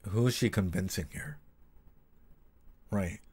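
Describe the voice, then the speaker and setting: A man's voice: low voice, slow pace, darkish tone, slightly rough. A man hosting a livestream in a casual, slangy, sweary way, rambling through reaction and analysis and often repeating his phrases. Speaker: livestream host and practising therapist.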